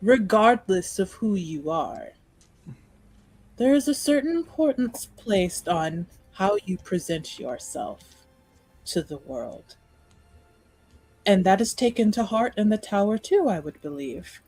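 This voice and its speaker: A woman's voice: mid-range voice, very animated, slow, a little mellow, slightly rough, dry recording, soft background music, heavily acted, rough recording. A woman playing a gracious, diplomatic noblewoman in a tabletop game, earnest and courteous, with formal, careful wording. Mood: tactful. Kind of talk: tabletop roleplay dialogue.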